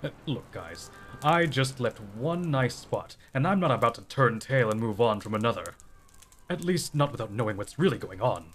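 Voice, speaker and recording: An actor playing a determined, inquisitive drifter hero in an audio drama, plain-spoken and steady. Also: male voice, mid-range voice, normal speed, faint music behind, natural voice.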